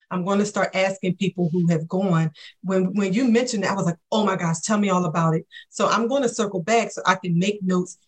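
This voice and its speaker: A woman in her forties, a business coach talking in a casual podcast chat, enthusiastic and often tagging "right?" onto her points. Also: on the low side.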